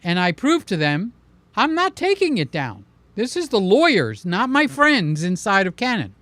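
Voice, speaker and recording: A man's voice: high voice, wildly swooping pitch, normal speed, a bit bright, no room sound. A man hosting a casual live stream, answering viewers and stressing his points with a repeated "okay?". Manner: emphatic, ends points with "okay?". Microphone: mic placed in front of him.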